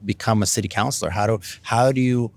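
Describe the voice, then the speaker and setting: Low-voiced Canadian man, rambling candidate. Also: fast, darkish tone, no room sound. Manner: rambling, many fillers and restarts. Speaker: city council candidate. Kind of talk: casual interview answers.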